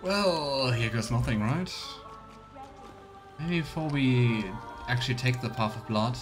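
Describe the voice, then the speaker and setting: A man's voice: mid-range voice, expressive, a little slow, over music. A man giving casual, chatty let's play commentary with playful sarcasm. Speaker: livestreamer playing a video game.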